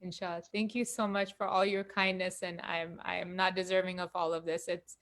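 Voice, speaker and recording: A woman guest musician speaking humbly on a video call, soft-spoken with halting restarts. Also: lowish voice, little pitch movement. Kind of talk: casual thanks on a video call.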